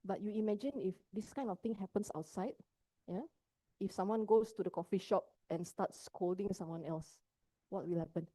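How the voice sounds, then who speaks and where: A woman's voice: mid-range voice, moderate pace, dark tone, dry recording, rough recording. A woman from Indonesia, a psychologist giving a workshop talk on mental health, often checking in with her listeners as she explains.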